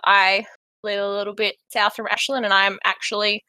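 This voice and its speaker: A woman's voice: mid-range voice, level tone, moderate pace, sharp tone, no room sound. A woman from Western Australia with an Australian accent, co-hosting a podcast, rambling and self-deprecating. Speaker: podcast co-host. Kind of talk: casual chat.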